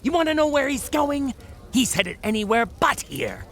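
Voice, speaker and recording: A woman playing a scaly male barroom thug in a noir audio drama, with a gravelly voice and a taunting, slangy wiseguy drawl. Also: high voice, very animated, normal speed, a bit bright, soft background music, exaggerated voice. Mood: veiled menace.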